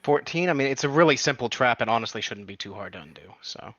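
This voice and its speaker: A male player in a casual tabletop game chat, calmly explaining his character's moves. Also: mid-range voice, fast, no room sound, dull audio.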